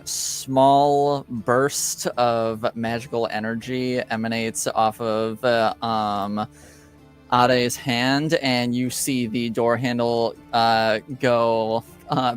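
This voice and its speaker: Slow game master, clear smooth voice, halting improvised narration, faint music behind. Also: medium pitch. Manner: halting, searching for words.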